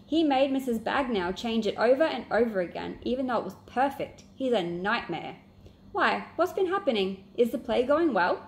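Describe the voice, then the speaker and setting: A woman's voice: a little high, animated, moderate pace. A woman voicing an observant, caring girl from a storybook detective club, chatty and concerned.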